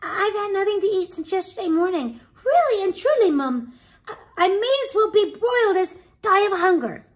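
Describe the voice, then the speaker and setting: A woman voicing an eager young boy in a storybook, polite, earnest and excitable. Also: extremely high pitch, moderate pace, voice pushed up. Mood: pleading.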